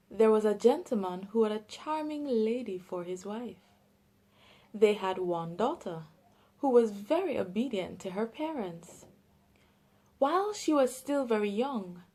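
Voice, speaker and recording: A woman with a Jamaican accent, reading a children's story aloud with careful, deliberate enunciation. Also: mid-range voice, animated, warm tone.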